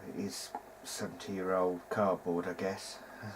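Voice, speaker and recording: A man with a lowish voice talks informally through hands-on repair work. He is hesitant and seems to work things out as he speaks. The recording carries noticeable background noise. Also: measured pace. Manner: hesitant, thinking aloud. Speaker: hobbyist radio restorer, presenter. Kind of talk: informal workbench commentary. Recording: clear steady background noise.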